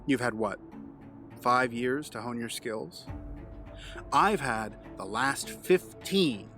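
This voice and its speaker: An actor playing a calm, condescending, menacing villain mastermind in an audio drama, measured and taunting. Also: male voice, mid-range voice, faint music behind. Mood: coolly confident.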